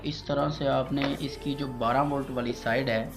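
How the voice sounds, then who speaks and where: A man's voice: medium pitch, fairly even intonation, moderate pace, creaky. Male tutorial voice over prominent music. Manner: repetitive, instructive, addressing viewers as friends. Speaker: electrician giving a tutorial. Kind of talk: casual step-by-step how-to demonstration. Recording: loud music behind the voice.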